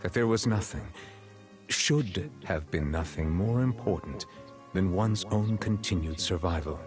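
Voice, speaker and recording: A solemn chief justice for a video game. The voice is very deep, grave and formal. The delivery is measured and reserved, with faint music underneath. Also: male voice. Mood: solemn reflection.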